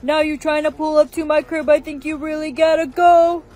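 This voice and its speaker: A high-pitched woman playing a touchy young lad, easily flustered. The delivery is whiny and shouty, pushed for broad skit comedy. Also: moderate pace. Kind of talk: exaggerated comedy skit acting.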